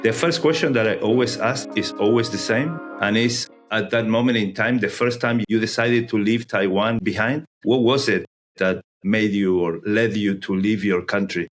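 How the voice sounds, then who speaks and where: A man hosting an interview, asking questions in a polite, measured way with formal phrasing. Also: fairly low pitch, soft background music. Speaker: podcast host.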